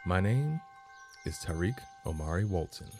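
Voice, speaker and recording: A man narrating a guided meditation as host, in measured phrases with long pauses between them. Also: low-pitched, sing-song, warm tone, soft background music.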